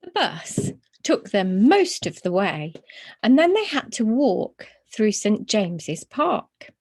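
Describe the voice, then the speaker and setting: Female children's story reader, slow paced with very lively, varied intonation. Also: medium pitch.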